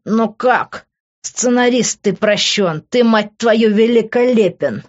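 A man's voice: on the high side, flat delivery, normal speed, highs cut off, natural voice. A man playing an emotional, coarse, hot-headed young man in love, in an audiobook. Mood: overjoyed.

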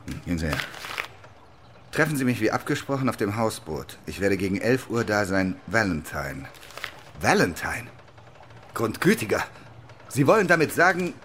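A suave, guarded major in a radio drama. He speaks formally and deliberately with dry irony, his melody very lively over faint ambience. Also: mid-range voice, a little hiss or hum. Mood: indignant.

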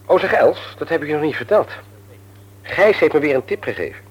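Husky, animated, self-pitying husband. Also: male voice, a little high, brisk, dull audio. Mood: eager, indignant. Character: self-pitying, self-satisfied husband and office boss. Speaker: radio play actor. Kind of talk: Dutch radio play acting.

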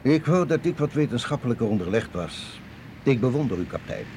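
Over clear vehicle rumble, a watchful colonel in a radio play. His mid-pitched voice is expressive and varied, with courteous, formal authority. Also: fairly quick. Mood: probing, earnest. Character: confident, earnest male intelligence colonel, courteous and watchful. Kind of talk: Dutch radio drama acting. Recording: clear vehicle noise behind voice.

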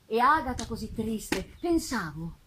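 A woman gives an expressive, deliberate dramatic reading of a gothic novel in first person, pausing for effect. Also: a little high, noisy background. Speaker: actress giving a literary reading. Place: live performance at a theatre festival.

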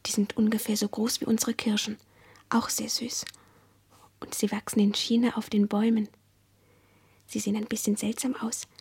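An actress playing a dreamy, childlike young woman, speaking in a hushed, intimate, breathy whisper in a radio play. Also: mid-range voice. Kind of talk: German radio play acting.

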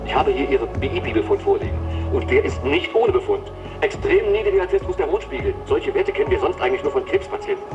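An actor playing a chatty, breezy company doctor in a radio drama, chummy and officious, heard at a distance over music. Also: male voice, a little high, big pitch swings, brisk, dull audio. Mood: concerned, breezy.

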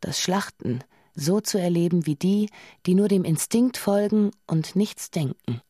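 A woman's voice: on the low side, lively intonation, a little slow, warm tone, breathy edge, dry recording, clean studio sound. A woman reading scripted literary narration as a narrator in a radio drama.

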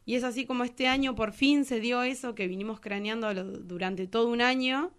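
A woman's voice: a little high, fairly even intonation, brisk, clean tone. A woman with a Rioplatense Argentine accent, a musician chatting casually and colloquially as an interview guest. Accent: Rioplatense Argentine Spanish. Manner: colloquial, slangy. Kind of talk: casual radio interview chat.

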